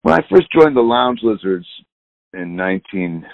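A sixty-year-old musician with a gravelly, creaky voice speaks over a dull, lo-fi line. His talk is loose and candid, and it wanders as he goes. The sound is dry, with no room around it. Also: fairly low pitch, very animated, moderate pace, dry recording. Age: 60s. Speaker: musician guest. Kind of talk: casual interview chat.

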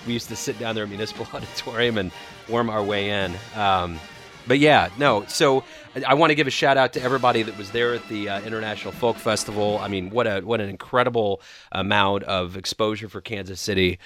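Eager low-voiced male radio host. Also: steady tone, brisk, a bit bright, soft background music. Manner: enthusiastic, rambling with restarts. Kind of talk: casual radio chat.

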